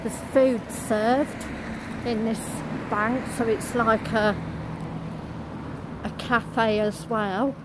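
A woman vlogger narrating a walk outdoors along a shopping street, halting as she lists what she passes. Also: a little high, fairly even intonation. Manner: halting, listing shop names as seen. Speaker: travel vlogger. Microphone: handheld camera while walking. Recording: loud street and traffic noise.

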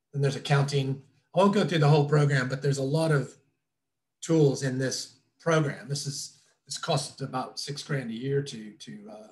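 A middle-aged man explaining things informally as a trainer in an online webinar, in a casual, loosely worded way, recorded indoors over a video call. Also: medium pitch. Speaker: drone trainer presenting a webinar. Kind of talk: informal instructional presentation over slides.

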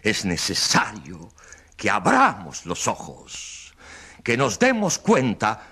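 A man's voice: on the low side, huge pitch swings, slow, bright, forceful tone, breathy edge, exaggerated voice. A man playing a pompous, indignant government official, declaiming in demagogic fashion in a radio courtroom drama. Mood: fervent, rousing. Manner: bombastic, declamatory.

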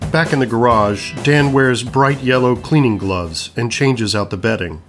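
A man's voice: mid-range voice, measured pace, music in the background. A man reading scripted narration for an audio play, describing action in stage-direction style.

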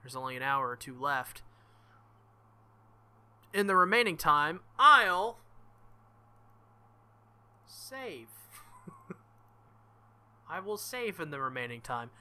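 A young man reading a visual novel aloud and commenting, animated and thinking out loud. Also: fairly high pitch, slow, pauses often, clean tone. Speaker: let's play host.

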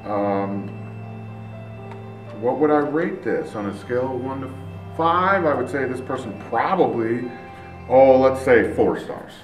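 A man's voice: medium pitch, lively intonation, measured pace, long pauses. A middle-aged male teacher reading reviews aloud and reacting casually, recorded in a small office from a few feet away. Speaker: Spanish teacher. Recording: roomy, distant mic, faint music.

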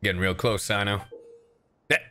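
A man's voice: fairly low pitch, fairly even intonation, normal speed, pushed and bright. A young man doing casual livestream commentary as a game streamer, sarcastic, joking and sweary.

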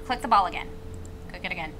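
A woman's voice: on the low side, quick pace, sharp tone, slight background noise. A woman co-hosting a casual game playthrough, thinking aloud hesitantly as she gives the other players directions. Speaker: gaming video co-host.

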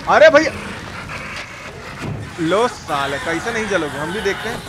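High voiced guy w bihari hindi accent streaming a game, slangy and sweary, noisy game audio behind. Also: sing-song, unhurried. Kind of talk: live gaming stream commentary with chat shout-outs.

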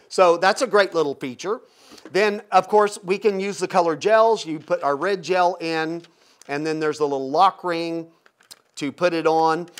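A man in his fifties talking casually and informally as he explains and demonstrates a product. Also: a little high. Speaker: product reviewer, host. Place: indoor photo studio with backdrop.

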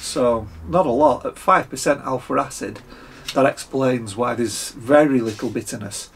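A Scottish man in his fifties with a croaky voice, chatting casually through a beer review at his desk, with frequent fillers and throat clearing. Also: medium pitch, normal speed, a little hiss or hum. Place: home workshop, indoors, small room.

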